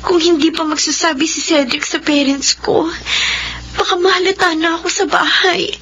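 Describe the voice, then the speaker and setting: A woman's voice: very high voice, fairly even intonation, moderate pace, fairly bright tone, lo-fi sound, a little hiss or hum. A woman playing an anxious pregnant young woman in a radio drama, earnest, insistent and emotional. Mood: tearful, afraid. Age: young adult. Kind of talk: Filipino radio drama acting.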